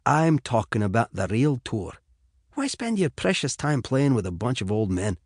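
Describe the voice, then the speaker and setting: A man's voice: medium pitch, sing-song, normal speed, husky, dry recording, clean studio sound. A man voicing an eccentric, blunt and breezy golfer in his late fifties, with an encouraging streak, for an audiobook. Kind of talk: audiobook character dialogue.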